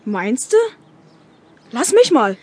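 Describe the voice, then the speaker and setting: A woman's voice: on the high side, big pitch swings, slow pace, darkish tone, breathy edge, heavily acted. A woman playing a brash, eager young boy in a German children's audio drama. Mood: pleading.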